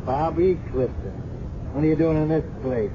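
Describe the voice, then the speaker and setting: A man playing a gruff, world-weary police captain with blunt, slangy talk in a 1970s radio drama. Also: on the high side, normal speed, lightly acted. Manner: blunt, slangy, hard-boiled. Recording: muffled, noisy 1970s radio recording.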